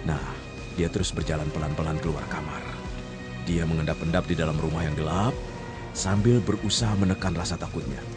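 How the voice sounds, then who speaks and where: A man narrating a radio story in a calm, measured way, with casual asides to the listener. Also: a bass voice, over loud music.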